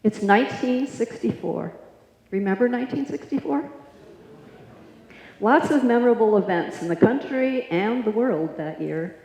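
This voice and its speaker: A woman's voice: a little high, lively intonation, moderate pace, a little mellow. A woman giving scripted narration as a performer in a live stage show. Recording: echoey hall, live stage.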